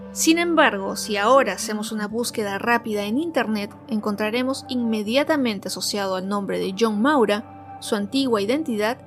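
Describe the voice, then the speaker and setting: A woman hosts a true crime show, reading a scripted story. Her voice sits a little high and her phrasing is measured and clear. Light music plays underneath. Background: background music.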